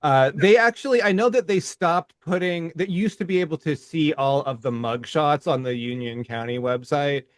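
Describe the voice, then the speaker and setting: A man's voice: on the high side, normal speed, hardly any pauses, smooth, dry recording. A man co-hosting a podcast in casual conversation who laughs often and stammers over his restarts. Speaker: podcast co-host.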